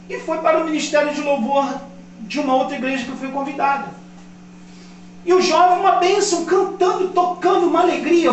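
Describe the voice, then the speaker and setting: A man in his fifties, a pastor from Brazil, preaching a sermon that swells louder on key points. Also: very high voice. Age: 50s. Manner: impassioned preaching, rising loud on key points. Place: church. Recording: echoey church hall, mic at a distance. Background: congregation responding.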